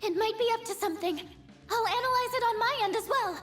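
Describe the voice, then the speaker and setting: A woman's voice: very high voice, fast, fairly bright tone, soft background music. A woman voicing an earnest, caring teenage girl who guides a team through battles in a video game. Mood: urgent, focused. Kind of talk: English game voice acting.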